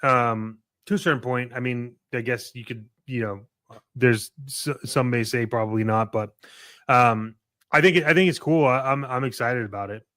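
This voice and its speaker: Smooth-voiced man chatting on a podcast. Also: medium pitch, normal speed, a bit bright, no room sound, clean studio sound. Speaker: podcast co-host. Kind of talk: casual podcast chat.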